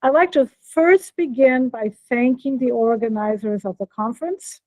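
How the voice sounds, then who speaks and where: A woman's voice: on the high side, normal speed, dark tone, dry recording, poor audio. Chatty American woman giving a keynote. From born in Norway, raised in Brazil. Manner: friendly, chatty, checks on listeners. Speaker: keynote speaker, professor. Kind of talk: academic keynote talk. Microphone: computer mic on video call.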